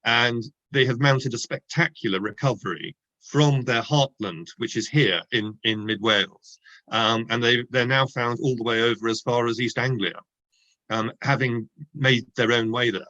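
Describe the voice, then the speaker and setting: A man's voice: mid-range voice, steady tone, normal speed, sharp tone, muffled recording. A man with an Oxford accent, an author talking through ideas in an interview with frequent ums and restarts. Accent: Oxford English. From Oxford, England. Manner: thoughtful, hesitant with many ums and restarts. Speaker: author guest. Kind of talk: relaxed interview talk.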